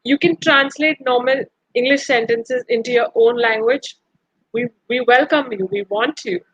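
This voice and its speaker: A woman's voice: on the high side, normal speed, a bit bright, husky, dry recording. Woman on a dull video-call line. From India. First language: Gujarati. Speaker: panelist and translator. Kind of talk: encouraging panel answer.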